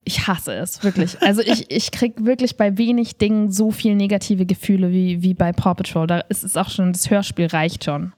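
Frau im lockeren, trockenen Podcast-Gespräch. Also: female voice, medium pitch, fairly even intonation, normal speed, hardly any pauses, a little mellow, no room sound, clean studio sound. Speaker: podcast co-host, improv performer. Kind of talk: casual conversational podcast talk.

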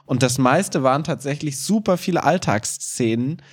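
Ein Mann moderiert einen Podcast mit und erklärt dabei locker und umgangssprachlich. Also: male voice, medium pitch, animated, normal speed, breathy edge. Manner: informal, explanatory. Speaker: podcast co-host, improv performer. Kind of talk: casual conversational podcast talk.

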